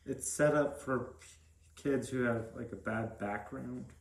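A man's voice: medium pitch, a little slow, creaky. A young adult man giving plain, brief answers as a guest in a casual interview. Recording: roomy echo.

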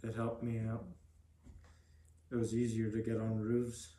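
Young adult male guest, monotone, short plain casual answers, noticeably roomy sound. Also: on the low side, measured pace. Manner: brief, plain-spoken answers. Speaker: podcast guest.

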